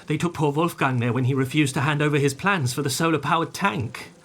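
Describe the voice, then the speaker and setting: An actor playing an earnest, prickly captive insect scientist in a comedic audio drama, pedantic and fervent. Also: male voice, on the high side, fairly quick, fairly bright tone, slight background noise. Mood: reluctant.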